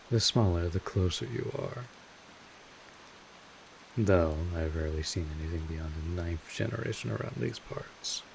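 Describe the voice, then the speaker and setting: A low-voiced vampire mentor, acted out by a man, talks slowly over faint hiss and rain-like noise. He is gruff but caring, rambling through his explanations in a streetwise, informal manner, like a weary guide in an audio drama. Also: exaggerated voice, low-quality recording. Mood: casual, explanatory. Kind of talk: scripted audio roleplay addressed to the listener.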